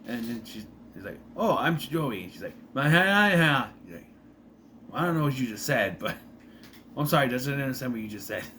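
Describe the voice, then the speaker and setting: A man talks through a sitcom episode in a loose, rambling way, stopping to correct himself and tossing in opinionated asides. His pitch jumps around a lot as he goes, and now and then he slips into a quick impression of a character. A faint hiss sits under the recording. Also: animated, bright, forceful tone. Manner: briefly mimics characters while retelling. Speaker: show recap vlogger. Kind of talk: casual rambling episode recap.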